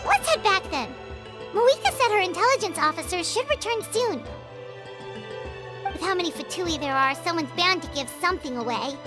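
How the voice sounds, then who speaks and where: Squeaky, childlike fairy companion. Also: female voice, moderate pace, over music. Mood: earnest, chatty. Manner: exclamatory, expressive. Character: tiny childlike fairy companion, chirpy, excitable. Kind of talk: video game character acting.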